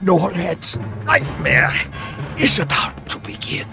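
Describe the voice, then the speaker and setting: Slow, creaky-voiced zealous villain. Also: male voice, on the high side, sing-song, over music, heavily acted. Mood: ominous. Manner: slow, theatrical, pontificating. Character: zealous, calmly menacing male villain with nuclear deterrence theory. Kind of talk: dramatic video game voice acting.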